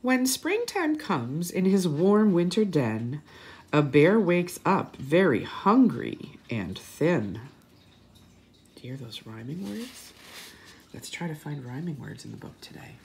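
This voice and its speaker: A woman reads a children's story aloud at an unhurried pace. Her pitch swings widely, and her voice has a slightly husky edge. She pauses often and speaks directly to young listeners. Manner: animated, pausing, with asides and questions to children. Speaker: children's story reader and teacher. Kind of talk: expressive picture-book read-aloud for young children.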